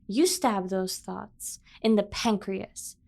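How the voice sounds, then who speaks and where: A woman's voice: mid-range voice, takes it slowly, vocal fry, a little mellow. A woman voicing an obsessive, protective yandere sibling in an ASMR roleplay, speaking with earnest, over-the-top devotion. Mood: fiercely protective. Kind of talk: scripted ASMR roleplay addressed to the listener.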